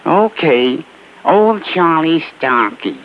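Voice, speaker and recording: An actor playing a shrewd, wheedling small-time American informant in slangy, ungrammatical speech on an old radio crime drama. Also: male voice, a little high, very animated, slow, exaggerated voice. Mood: sly, insistent. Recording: muffled old radio recording, faint noise.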